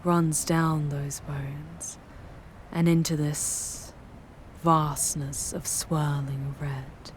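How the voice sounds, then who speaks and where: A woman voicing a charming, darkly seductive demoness in a scripted ASMR roleplay, speaking in measured, ornate, ominous phrases that drift toward breathy near-whispers. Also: deep voice, little pitch movement, slight background noise. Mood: ominous, solemn. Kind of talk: scripted ASMR audio roleplay, dramatic monologue.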